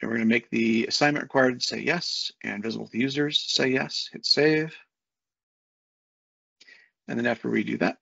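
A man in his thirties walking through a software setup on a screen share, talking casually step by step with fillers, recorded on a desk mic at home. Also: mid-range voice, creaky, breathy edge, dry recording. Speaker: software consultant. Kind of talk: screen-share tutorial walkthrough. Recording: dull lo-fi dry sound.

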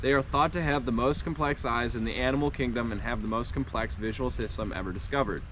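Young male hiking vlogger, mid pitch, reads facts off a phone with stumbles and chuckles, faint outdoor hiss. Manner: reads haltingly, stumbles on words, laughs often. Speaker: hiking vlog co-host. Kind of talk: casual chat, reading facts aloud from a phone. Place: outdoors in bare woods on an overcast day. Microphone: 360 camera on a handheld stick, a few steps off.

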